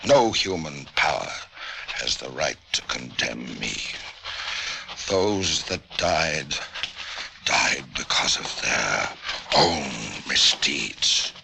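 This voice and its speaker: An actor playing a smug, self-righteous murderous doctor in theatrical 1940s radio style. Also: male voice, a bass voice, big pitch swings, extremely slow, gravelly, sharp tone, slight background noise, exaggerated voice. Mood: solemn, defiant. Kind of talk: 1940s radio acting, first-person narration. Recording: old radio recording with music behind.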